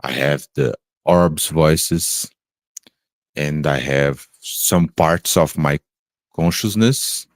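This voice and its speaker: A man, an English speaker, talking slowly and haltingly about spiritual experiences on a podcast, pausing often to search for words. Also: rumbling low, no room sound, studio quality. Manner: halting, searching for words, many pauses and fillers. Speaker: podcast co-host. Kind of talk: slow reflective spiritual chat.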